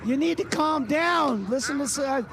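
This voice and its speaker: Man playing high-voiced exasperated radio caller. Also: animated, moderate pace, creaky, full character voice. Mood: exasperated, pleading. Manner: pleading, scolding. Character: exasperated caller claiming to be a time-displaced sitcom actor. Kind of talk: comic phone-in radio skit.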